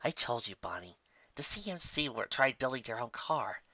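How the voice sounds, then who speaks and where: Wry storybook unicorn mare played by a man, soft and breathy, dry close recording. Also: mid-range voice, very animated, normal speed, no room sound, lo-fi sound, full character voice. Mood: matter-of-fact. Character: wry, reassuring young female unicorn.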